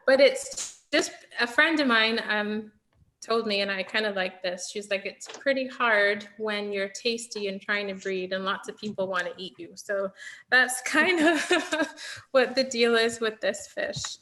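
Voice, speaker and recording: Female scientist, crisp forward brightness, informal explainer delivery over a video call. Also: mid-range voice, a little slow, a little hiss or hum. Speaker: marine scientist guest.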